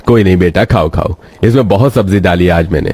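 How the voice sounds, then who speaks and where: A man voicing a kindly, soft-hearted middle-aged noodle vendor and father in a story, friendly and fatherly. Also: low-pitched, quick pace, husky. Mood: cheerful.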